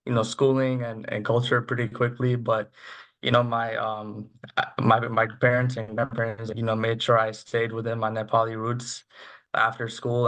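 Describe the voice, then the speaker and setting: A young man from Florida, a guest on an interview podcast, answering casually and filling his talk with "you know". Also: medium pitch. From Florida, USA. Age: young adult. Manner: relaxed, many "you know" fillers. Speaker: guest, youth volunteer.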